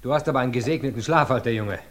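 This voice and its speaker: An actor playing a sharp, determined defense attorney in a 1950s radio drama, talking urgently and insistently. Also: male voice, mid-range voice, rapid-fire, crowd noise, natural voice. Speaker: defense lawyer. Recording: roomy old radio play recording.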